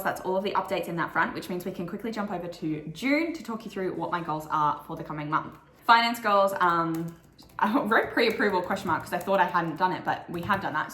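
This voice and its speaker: Croaky-voiced young Australian woman vlogging. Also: medium pitch, a bit bright, reverberant. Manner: chatty and upbeat, with frequent "like" fillers. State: croaky morning voice. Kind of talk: casual monthly goals vlog.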